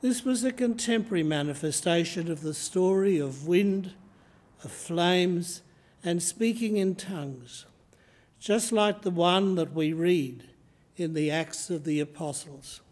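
An older man in his seventies with an Australian accent, preaching a sermon from the lectern of a church and reading slowly and deliberately from his notes. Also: high-pitched, a little mellow. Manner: slow, measured reading from notes. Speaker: priest. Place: church sanctuary, indoors. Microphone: lectern microphone, camera in front.

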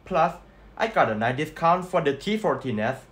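A man's voice: fairly high pitch, normal speed. Young man with a Thai accent. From Thailand. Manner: earnest, steady listing of specs. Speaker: tech reviewer. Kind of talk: scripted product review voice-over.

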